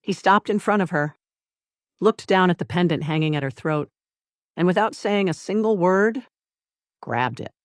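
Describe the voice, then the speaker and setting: This is a story narrator delivering scripted drama in a deliberate manner. Short phrases are set apart with pauses for emphasis. The sound is dry, with no room around the voice. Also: medium pitch, expressive, dry recording.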